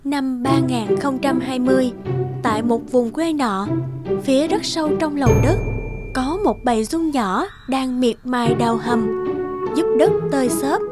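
A woman with a very high voice narrates an animated tale. The reading is scripted storytelling, set over loud music. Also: measured pace.

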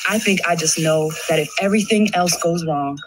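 A woman in her thirties performing her poetry in a rhythmic, expressive spoken-word style. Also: fairly low pitch, fairly quick, background noise. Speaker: poet. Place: studio. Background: music.

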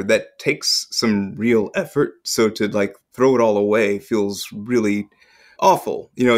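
A man speaking as an actor guest in casual, reflective conversation. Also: lowish voice.

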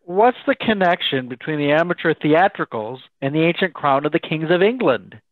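A man's voice: a little high, lively intonation, moderate pace, no echo, natural voice. A man playing a curious, courteous American announcer who prompts a storyteller in an old-time radio drama. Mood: wry. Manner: inquisitive, courteous straight man. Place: home room on a group video call. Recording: thin, phone-like video-call line.

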